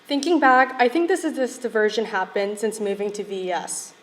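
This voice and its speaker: A young woman in her late teens, a graduating student, giving a measured, reserved speech at a podium microphone. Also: on the high side, animated, a little hiss or hum. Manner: soft-spoken, reserved, measured. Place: school event hall.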